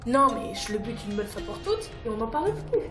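A woman's voice: a little high, very animated, brisk, music in the background. A woman playing a blunt, hot-headed and rude female rebel in an audio drama. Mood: combative. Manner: blunt, sharp-tongued, casual.

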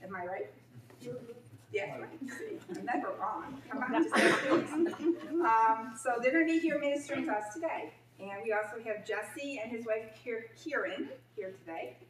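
A woman's voice: on the high side, moderate pace, a crowd in the background. An older woman making casual, joking church announcements in a sanctuary. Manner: chatty, joking, animated. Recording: echoey church from a distance.

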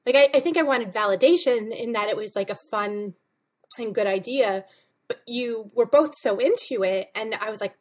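A woman's voice: fairly high pitch, normal speed. A woman in her thirties talking casually and informally as a guest in an interview. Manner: chatty, informal, peppered with "like". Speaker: podcast guest, comic book writer and editor. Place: home room with bookshelves, video call.

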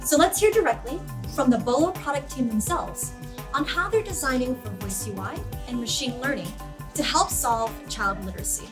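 A woman's voice: high-pitched, animated, normal speed. A woman in her thirties presenting as host, in a scripted, to-camera style. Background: background music.

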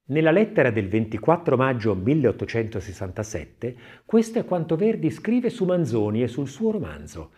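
Guy reading an audiobook, theatrical and measured, pitch moves around a lot, mid-range voice. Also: darkish tone, breathy edge. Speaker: narrator. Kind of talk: expressive scripted audiobook narration.

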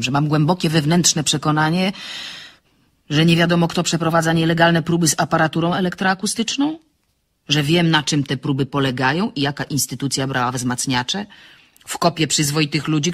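Husky-voiced woman reading fiction. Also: deep voice, fairly bright tone. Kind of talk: expressive audiobook reading of a novel with acted dialogue.

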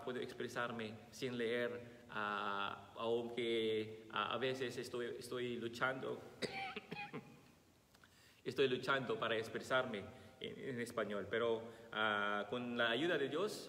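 A man in his thirties with a Filipino accent, a priest with a slight cold, reading the Gospel and preaching slowly with many pauses and "ah" fillers. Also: mid-range voice, sharp tone. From Philippines. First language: Tagalog. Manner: slow and halting, many "ah" fillers while finding words. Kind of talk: Gospel reading and homily at Mass. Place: large church, seated at altar. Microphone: fixed camera across the altar. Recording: echoey church with long reverb.

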